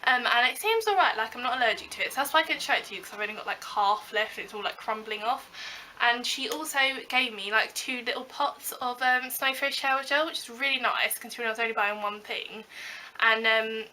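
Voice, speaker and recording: Bright, high British woman with a cold. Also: brisk, slight background noise, low-quality recording. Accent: British English. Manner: chatty, rambling with many ums and likes. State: a cold, slightly croaky voice. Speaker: beauty vlogger. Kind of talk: casual haul video chat.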